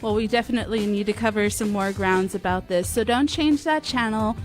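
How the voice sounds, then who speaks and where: A woman's voice: medium pitch, fairly quick. A woman co-hosting a radio talk show, addressing listeners and making announcements. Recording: dry studio sound with music underneath.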